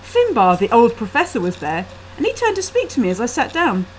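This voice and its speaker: High, animated woman reading, noisy laptop mic. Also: fairly quick. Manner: expressive, acting out the dialogue. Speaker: author reading her own short story. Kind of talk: dramatic amateur reading of gothic fiction. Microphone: built-in laptop webcam microphone. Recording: noisy amateur laptop webcam microphone.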